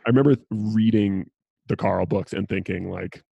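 Male podcast host, low-pitched and gravelly, casual conversational delivery, close dry sound. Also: moderate pace, warm tone, no echo, highs cut off. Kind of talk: casual chat.

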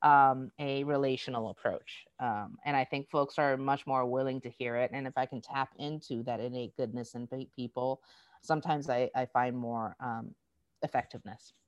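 An American woman speaking in an interview, explaining things in an animated, storytelling way and acting out quoted dialogue. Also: rumbling low, normal speed, dry recording, lo-fi sound. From United States. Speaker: diversity educator. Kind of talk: conversational interview.

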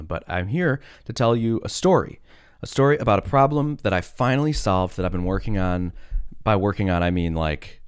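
A man hosting a casual solo podcast, sick with a cough that has deepened his voice, rambling with many fillers and restarts. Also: clear, resonant tone, no echo, studio quality. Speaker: podcast host.

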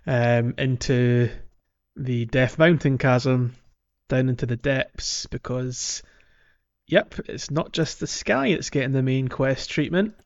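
A man's voice: medium pitch, slow, muffled recording. A man co-hosting a podcast in a casual, conversational way about a video game. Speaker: podcast co-host.

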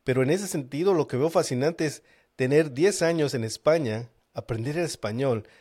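A man in a middle pitch hosts a casual interview. He talks in a conversational way, stretching his questions out with asides and fillers. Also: moderate pace, darkish tone. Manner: conversational, long winding questions. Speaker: podcast host.

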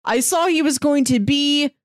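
Female podcast co-host, high-pitched, wry conversational banter, dry studio-like sound. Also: moderate pace, no room sound. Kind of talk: casual, joking pop culture chat.

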